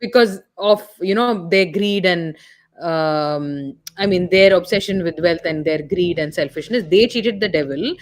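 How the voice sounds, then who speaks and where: A woman's voice: on the low side, unhurried, no echo. A woman with an Indian accent in a casual discussion, speaking as a panelist who clarifies and stresses her points. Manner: emphatic, corrective, clarifying. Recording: noisy live stream audio.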